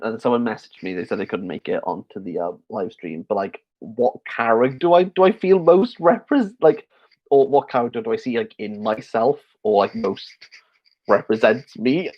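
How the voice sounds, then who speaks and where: Tipsy, rambling bookish man on stream. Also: medium pitch. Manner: rambling, chatty, many fillers and restarts. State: a little tipsy. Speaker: book channel host. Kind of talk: casual livestream Q&A. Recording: slightly dull lo-fi stream audio.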